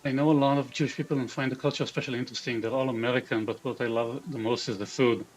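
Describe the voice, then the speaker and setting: A man's voice: mid-range voice, fairly even intonation, rapid-fire, a little hiss or hum. A man from Israel with an Israeli accent, streaming live, reading chat aloud and muttering half-sentences to himself as he builds a game character. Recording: dull lo-fi stream audio.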